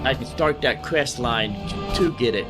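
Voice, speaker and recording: A man's voice: medium pitch, normal speed, loud music behind the voice. Man rambling through casual gameplay commentary. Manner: rambling, thinking aloud, repeating himself. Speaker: gamer narrating own playthrough.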